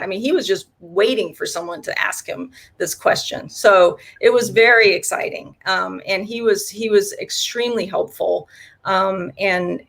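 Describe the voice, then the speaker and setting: A woman's voice: medium pitch, creaky, fairly bright tone. A woman whose first language is German, an author answering interview questions in a chatty way, with many fillers and restarts.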